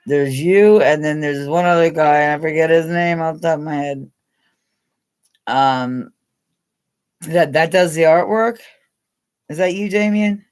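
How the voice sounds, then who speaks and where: A woman's voice: sits low, unhurried, pauses often, darkish tone, clean tone. Middle-aged American woman, chatty livestream host, rambling and giggly. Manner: rambling, chatty, laughs often, talks straight to viewers by name. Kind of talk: casual live chat with viewers.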